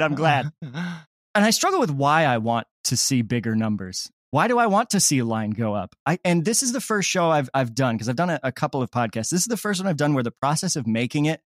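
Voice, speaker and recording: A wry man talking casually as an interview guest. His voice sits at a middle pitch, and it is recorded dry and close. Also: no echo. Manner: wry, joking. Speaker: comedy podcast creator.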